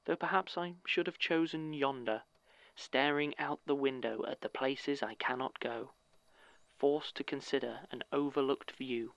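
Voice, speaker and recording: A man playing a brooding poet, reciting spoken-word verse in measured, pausing phrases in an audio drama. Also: mid-range voice, steady tone, gravelly, fairly bright tone, no room sound, poor audio. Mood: brooding, pensive.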